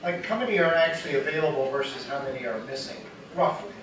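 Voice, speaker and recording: A man's voice: medium pitch, fast, background noise. A man in an audience casually asking the presenters a question at a live event. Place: indoor event room, recorded from a distance. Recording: very echoey, distant room with audience.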